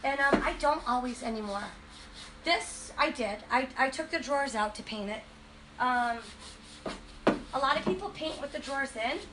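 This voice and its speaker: High-voiced chatty woman with a cold. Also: normal speed, background noise. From Western Massachusetts. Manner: chatty, enthusiastic, rambling. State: has a cold. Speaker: furniture painting host. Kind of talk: casual livestream demo.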